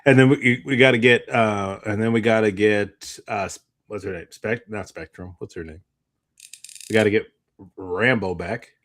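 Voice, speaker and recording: Male co-host, fairly low pitch, informal, rambling delivery, often unsure of his point. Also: steady tone, normal speed, fairly bright tone. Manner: rambling, unsure, searching for words. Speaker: co-host of a movie discussion show. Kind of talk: casual chat.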